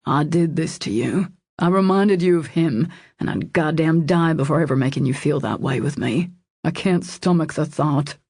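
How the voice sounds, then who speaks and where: Woman acting an anguished, husky, gravelly man. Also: low voice, a little slow, a little mellow, dry recording, studio quality, heavily acted. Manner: urgent, intense, pleading. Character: anguished, protective man pleading with his lover.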